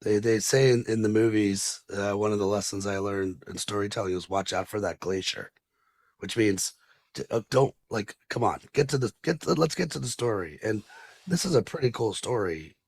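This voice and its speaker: A man hosting a podcast, asking questions in a loose, halting way with many fillers. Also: on the low side, creaky, darkish tone, no room sound. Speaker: podcast host. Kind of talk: interview questions.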